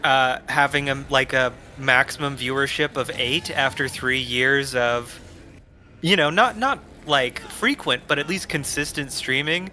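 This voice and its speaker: Man, streamer, wry casual chat, bright tense voice, reads chat aloud. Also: mid-range voice, unhurried, slight background noise.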